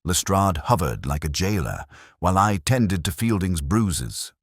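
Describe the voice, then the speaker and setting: Very deep male storyteller, dramatic delivery. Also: expressive, unhurried, slightly rough, no room sound, clean studio sound. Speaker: audiobook narrator, reading as a first-person storyteller. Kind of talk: scripted narration of a classic detective story.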